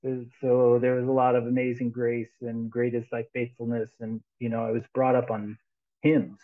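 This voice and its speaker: A man's voice: mid-range voice, level tone, moderate pace. A man in his sixties, a guest musician talking casually on a video call through headphones, rambling, with many restarts and frequent laughs. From United States. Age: 60s. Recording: tinny phone-like line.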